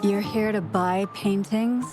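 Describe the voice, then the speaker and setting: A woman's voice: mid-range voice, fairly even intonation, slow pace, close to an everyday voice. An actress playing a brusque, streetwise exile woman in a video game, guarded but easygoing, with a casual, curt delivery. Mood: gruff, dismissive. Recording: music playing behind the voice.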